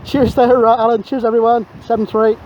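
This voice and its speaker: A man's voice: extremely high pitch, little pitch movement, a little fast. A man chatting casually as a CB radio operator, matey and rambling, outdoors on a windy seashore. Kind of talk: casual radio chat and narration to camera. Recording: dull outdoor recording, clear wind noise. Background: wind and outdoor ambience.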